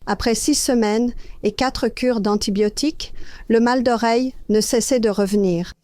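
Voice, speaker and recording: A woman's voice: fairly high pitch, normal speed, warm tone, no room sound. An older woman from Australia, a health educator, telling her personal story as an interview guest in an earnest, anecdotal way.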